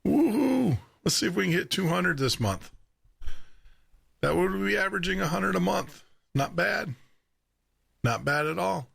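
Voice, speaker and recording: A man with a deep baritone voice hosting a live radio show and podcast from his studio, chatty, laughing often and repeating words as he talks. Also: lively intonation, unhurried, vocal fry, long pauses, a bit bright, husky. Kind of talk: casual live radio chat. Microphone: studio microphone with headphones.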